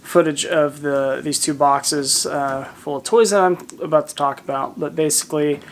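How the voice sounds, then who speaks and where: A man in a basement talking casually to the camera, relaxed and halting. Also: on the high side, slight background noise. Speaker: husband decluttering.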